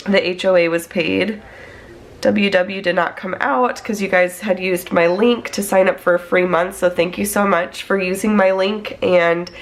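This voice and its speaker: A woman in her thirties, congested from a cold, chatting casually as she plans her budget. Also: on the low side. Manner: chatty, talks through her steps. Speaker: budget planning vlogger. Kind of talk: casual chat while planning on a tablet.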